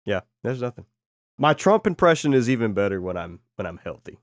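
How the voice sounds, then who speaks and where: A man hosting a podcast while getting over a cold, sounding hoarse and making self-deprecating jokes in a casual chat. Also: medium pitch, very animated, a little fast, no echo, clean studio sound. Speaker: podcast host.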